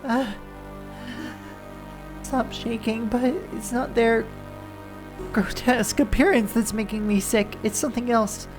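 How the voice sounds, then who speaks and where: A woman's voice: a little high, fairly even intonation, measured pace, long pauses, low-quality recording. Nasal, congested woman streamer. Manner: reads game lines plainly in first person, breaking off to chat. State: congested from a winter cold. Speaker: game streamer. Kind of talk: casual chat with chat, reading game text aloud. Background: faint game music.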